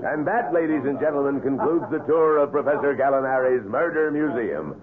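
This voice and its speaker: An actor playing a grave showman of a museum tour guide in 1970s radio drama style, with a husky voice. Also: mid-range voice, brisk, crowd noise, lightly acted. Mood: dramatic showmanship. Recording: thin, phone-like old radio recording.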